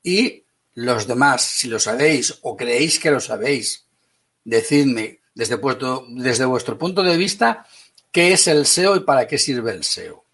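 A man from Spain with a Spanish accent teaching an online class, brisk and teasing, calling on students by name. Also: mid-range voice, lively intonation, a bit bright, breathy edge.

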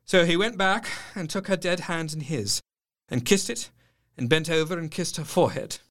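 An actor playing a grieving young doctor, narrating his diary in a measured, literary and solemn way. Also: on the high side, a bit bright, natural voice. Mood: sombre, tender. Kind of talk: dramatic first-person audio drama narration. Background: music.

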